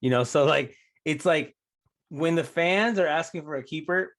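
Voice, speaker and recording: A man's voice: fairly high pitch, moderate pace, a bit bright. A man co-hosting a soccer podcast from home, chatting in an easygoing way with plenty of "you know" and "man". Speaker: podcast co-host. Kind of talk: casual podcast chat.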